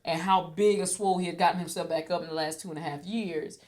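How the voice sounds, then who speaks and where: A woman's voice: on the low side, quick pace. A woman in her thirties, a podcast host chatting casually and acting out the voices in her stories. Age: 30s. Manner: animated storyteller, acts out quoted voices. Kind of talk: casual chat.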